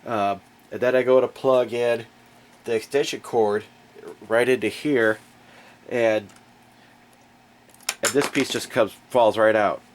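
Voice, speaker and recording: A man's voice: medium pitch, steady tone, slow, long pauses, slight background noise. A man with a cold and a stuffy nose, chatting casually and a bit aggravated as he reviews and fixes a generator in his garage. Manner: folksy, rambling, aggravated. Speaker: home DIY reviewer. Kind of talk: casual product review and repair. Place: garage indoors. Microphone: camera mic, off-screen.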